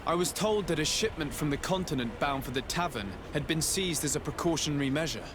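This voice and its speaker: A man playing a composed, diplomatic young noble legate in a video game, speaking formally and in measured, articulate phrases. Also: a little high, lively intonation, lightly acted. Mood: stern, probing. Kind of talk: video game cutscene acting. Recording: noisy outdoor ambience behind the voice.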